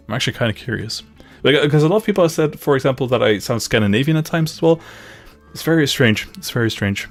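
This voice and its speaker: A young man with a Dutch-Flemish accent streaming casually from his home gaming room, laughing often and repeating himself as he reads chat aloud. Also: mid-range voice. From grew up in Luxembourg, Dutch parents, lives in Belgium. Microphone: studio mic on boom arm, headphones.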